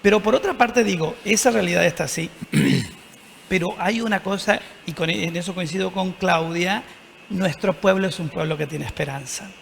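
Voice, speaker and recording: Argentine priest, high gravelly voice, earnest and reflective, reverberant studio sound. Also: male voice, normal speed, slight background noise. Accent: Argentine Spanish. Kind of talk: TV panel discussion.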